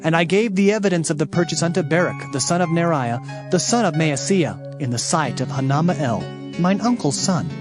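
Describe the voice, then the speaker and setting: An actor playing an earnest Hebrew prophet, solemn and emphatic in a dramatized scripture reading. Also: male voice, on the high side, lightly acted. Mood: earnest, recounting. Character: earnest male Hebrew prophet proclaiming oracles and recounting events. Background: music.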